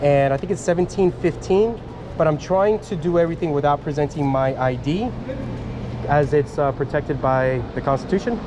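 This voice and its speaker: A man from Miami filming a casual self-made vlog on his phone, halting and chatty, full of fillers and restarts, talking to camera and to officials. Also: on the high side, background noise. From Miami, USA. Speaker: vlogger filming a first amendment audit. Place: outdoors then in a public building lobby. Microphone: handheld phone camera.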